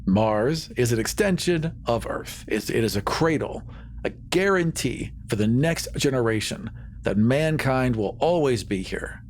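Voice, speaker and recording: A man playing a smooth-talking, assertive colony promoter in improvised roleplay, earnest and persuasive, pausing for emphasis. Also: mid-range voice, animated, slight background noise, lightly acted. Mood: confident, deferential.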